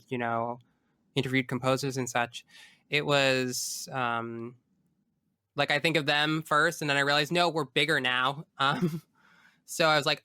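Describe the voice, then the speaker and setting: A man talking casually and emphatically as a podcast guest, in an expressive, informal way. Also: a little high, clean tone, dry recording, clean studio sound. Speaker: podcast guest, tabletop game podcast creator. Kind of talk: casual interview chat.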